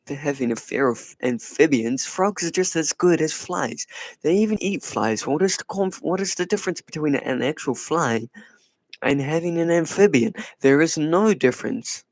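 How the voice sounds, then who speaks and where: Male streamer, playful and teasing, soft higher voice, dry close sound. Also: no echo. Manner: playful, teasing, answers chat; soft, light put-on voice. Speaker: livestream host. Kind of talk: casual live chat with viewers.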